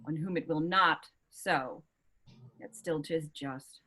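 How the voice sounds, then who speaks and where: A woman playing a bitter, resigned young gentleman prisoner in a staged reading of Shakespeare verse. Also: low voice, takes it slowly, full character voice.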